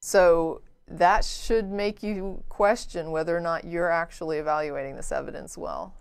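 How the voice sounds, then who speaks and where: A woman's voice: on the low side, fairly even intonation, normal speed, clean tone. A middle-aged woman co-hosting a call-in show in a studio, talking thoughtfully with hedges and trailing phrases. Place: studio, at a desk. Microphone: lapel mic.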